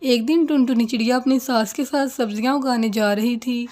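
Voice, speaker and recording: High-pitched woman narrating a cartoon story. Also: normal speed, a little mellow.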